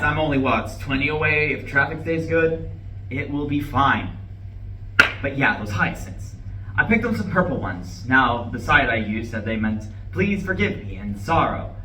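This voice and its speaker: A man's voice: mid-range voice, lively intonation, unhurried, sharp tone. A man in a live play reading, playing a nervous, self-justifying husband who rambles and corrects himself. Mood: nervous, defensive. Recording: echoey distant live room recording, faint noise.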